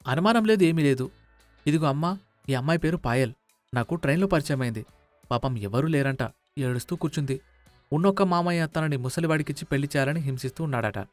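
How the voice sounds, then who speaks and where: Man acting a timid, fast-talking young son. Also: a little high, no room sound, faint music behind, lightly acted. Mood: flustered. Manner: hesitant, coaxing, over-explaining. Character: timid, evasive, pleading young adult son. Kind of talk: dramatised moral story dialogue.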